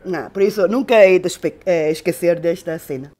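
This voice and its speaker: A woman's voice: lowish voice, fairly quick, mellow. A middle-aged woman from Macau, an interpreter telling her story in an interview, hesitant with many fillers. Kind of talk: interview recollection.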